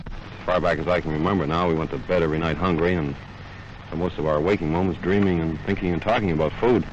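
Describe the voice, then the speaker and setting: A man's voice: low-pitched, fairly even intonation, fast. A man from Canada answering an interview in a hesitant, understated way, on a 1940s field recording. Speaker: war correspondent, freed prisoner of war. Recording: noisy, muffled 1940s field newsreel recording.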